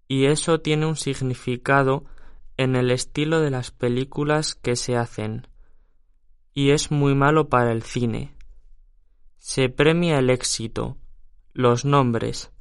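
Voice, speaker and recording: A man reading prepared text for a listening exercise, unhurried and deliberate. His voice is smooth and resonant, and the sound is dry and close. He keeps a measured, even delivery throughout. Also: medium pitch, no echo. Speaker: audio reader for a listening exercise. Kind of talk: slow scripted reading.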